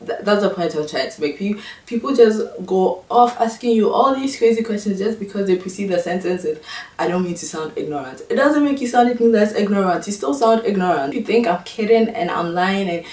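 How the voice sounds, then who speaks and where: A woman's voice: lively intonation, brisk, darkish tone. A young woman from Ghana with a Ghanaian accent, talking to camera in a casual, exasperated and sarcastic vlog. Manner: exasperated, sarcastic, mimics others in high voices. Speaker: vlogger. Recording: echoey room, mic at a distance.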